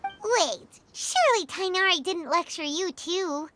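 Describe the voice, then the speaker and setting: A woman voicing a tiny, childlike, excitable fairy companion in a video game, with a squeaky, cartoonish, expressive delivery. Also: unhurried, slight background noise, full character voice. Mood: curious. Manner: squeaky, expressive, speaks of self in third person.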